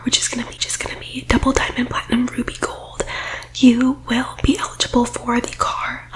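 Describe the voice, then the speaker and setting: A woman whispering breathily in a persuasive ASMR roleplay, like a team leader giving a sales pitch, close to the microphone. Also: fairly bright tone, rough recording.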